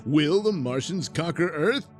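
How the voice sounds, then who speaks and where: A man's voice: on the high side, animated, a little slow, faint music behind. A man narrating a space-adventure serial in a dramatic, scripted announcer style.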